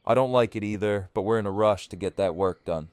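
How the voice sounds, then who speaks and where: Man playing weary survivor leader, audio drama, firm earnest manner, lowish pitch, very dry sound. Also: a little fast, darkish tone, clear, resonant tone, no room sound, close to an everyday voice. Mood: frustrated. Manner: commanding, earnest.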